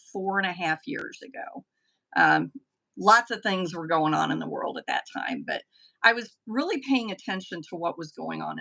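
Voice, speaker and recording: A middle-aged woman from the southern United States with a softened Tennessee accent, chatting easily as a guest on a live stream interview. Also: fairly low pitch, vocal fry. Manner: chatty, with frequent ums and restarts. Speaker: political candidate, guest. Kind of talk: casual interview answers.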